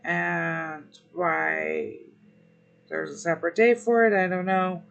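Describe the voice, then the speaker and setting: Hoarse, gravelly-voiced stream host. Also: male voice, high voice, fairly bright tone. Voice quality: rough, gravelly. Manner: unhurried, friendly greetings between pauses. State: hoarse, losing his voice. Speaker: livestream host. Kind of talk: relaxed gaming stream chat, greeting viewers.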